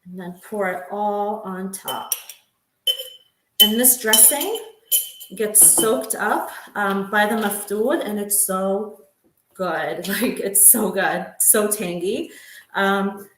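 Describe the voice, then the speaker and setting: A woman's voice: medium pitch, slow pace, a bit bright, echoey room, slight background noise, rough recording. A woman giving a casual home cooking demo, chatty, with frequent ums and light laughs. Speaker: home cook demonstrating a recipe. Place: home kitchen.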